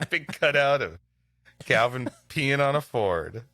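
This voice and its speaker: Bright, animated male comedy co-host. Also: mid-range voice, takes it slowly, dry recording, studio quality. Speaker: podcast co-host and story reader. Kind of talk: comic banter and storybook narration.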